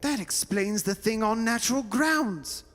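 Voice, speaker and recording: A man playing an earnest, anxious young gentleman in a radio drama, with old-fashioned politeness. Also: high-pitched, expressive, unhurried. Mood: convinced. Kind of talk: old-time radio horror drama acting. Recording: stage recording with faint hiss.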